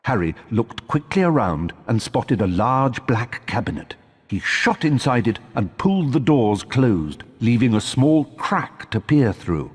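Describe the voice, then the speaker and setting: A man's voice: lowish voice, breathy edge. A man reading scripted storybook narration in a recording with long reverb.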